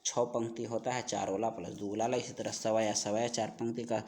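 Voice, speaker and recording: A man's voice: fairly low pitch, little pitch movement, fairly quick, a little mellow, a little hiss or hum. A man giving an instructional exam-prep lesson, reading out questions and repeating the answers for emphasis in a didactic way.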